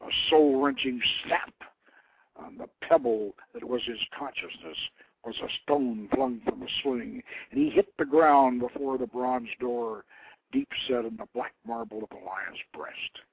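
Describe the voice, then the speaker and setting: A man reading a story aloud as a narrator, in a theatrical, solemn manner that moves between hushed tones and swelling emphasis. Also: mid-range voice, animated, measured pace, gravelly, slight background noise. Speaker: host reading his own novelette. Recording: phone-like internet radio sound.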